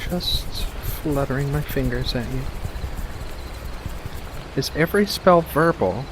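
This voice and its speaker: A man voicing a playful, mischievous furry witch of the woods in a soft-spoken, teasing ASMR roleplay, full of gentle endearments. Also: medium pitch, slow, background noise, natural voice.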